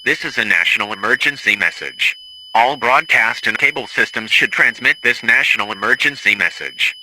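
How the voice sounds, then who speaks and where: A man's voice: mid-range voice, animated, moderate pace, pushed and bright. A synthetic male announcer reading a formal emergency alert in a noisy radio-style broadcast.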